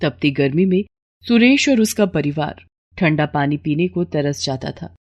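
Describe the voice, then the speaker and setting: A woman's voice: low-pitched, expressive, a little slow, darkish tone, dull audio. A woman narrating a scripted moral story as a storyteller.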